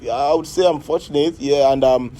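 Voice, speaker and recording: A man with a Nigerian accent giving a thoughtful interview answer, pausing often with fillers. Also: fairly high pitch, warm tone. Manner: thoughtful, hesitant with many fillers. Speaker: artist contributor.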